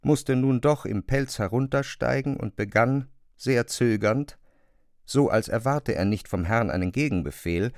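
Male narrator, gravelly edge, medium pitch, deliberate phrasing, literary prose, dry studio sound. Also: darkish tone, no room sound. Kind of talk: literary audio drama narration.

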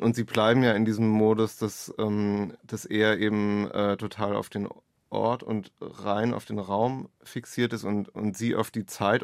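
A man speaks as an author in an interview. His voice is low and stays in a narrow, even range as he searches hesitantly through his explanations. The sound is dry and close. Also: creaky, no echo. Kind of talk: reflective interview conversation.

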